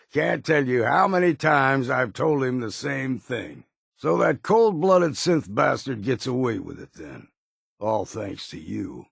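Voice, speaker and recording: A gruff old hunter from a fishing harbor in a video game. His voice is deeply gravelly and husky, with lively swings in pitch. He talks in a folksy, blunt, stubborn way, recorded dry and close. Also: takes it slowly, no room sound, exaggerated voice. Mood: scornful, angry. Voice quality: gravelly, rasping. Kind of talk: video game character acting.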